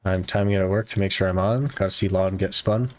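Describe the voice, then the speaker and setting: A man's voice: deep voice, fast talker, no room sound. A man streaming a game live, chatting casually with his viewers and using many "like" fillers. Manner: relaxed, talks to chat with many "like" fillers. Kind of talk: casual live-stream chat while gaming.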